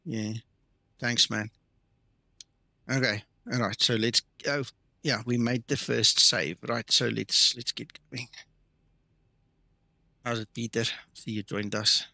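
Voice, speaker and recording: A man's voice: medium pitch, fairly even intonation, slow pace, gravelly, long pauses, bright, forceful tone, dry recording. A man whose first language is not English, hosting a live flight simulator stream in a casual, chatty way and greeting viewers by name. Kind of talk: live stream chat, reading and answering viewer comments.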